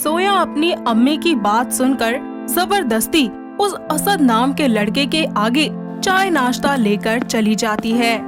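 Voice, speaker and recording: A woman narrates a scripted story in a high voice. She takes her time with each sentence, and music plays clearly behind her. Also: animated, slow pace.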